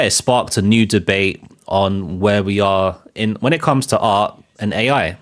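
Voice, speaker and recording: A man with a fairly low voice hosts a live film chat, thinking out loud as he goes. He keeps circling back with fillers and restarts, and his delivery stays loose and conversational. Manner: rambling, many fillers and restarts. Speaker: show host. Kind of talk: live casual commentary.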